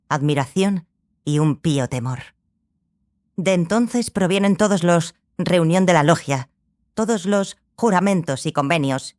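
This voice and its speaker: A woman's voice: low-pitched, darkish tone, no echo, lightly acted. Woman as serious scholarly sorceress, dry close sound. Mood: corrective, grave. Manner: measured, didactic. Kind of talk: audiobook drama acting.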